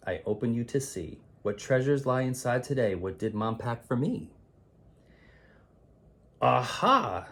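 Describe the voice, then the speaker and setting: A man reading children's rhymes aloud as a teacher would, in an expressive, theatrical way with drawn-out, emphatic words. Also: medium pitch. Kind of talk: expressive children's read-aloud of rhyming poems.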